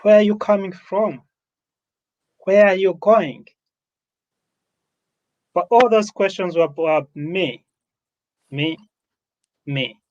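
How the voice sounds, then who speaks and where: A man with a Ugandan accent and a fairly high-pitched voice addresses an online summit. He speaks without a script, leaning on repetition and emphasis to make his points. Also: slow pace, pauses often, a little mellow, lo-fi sound.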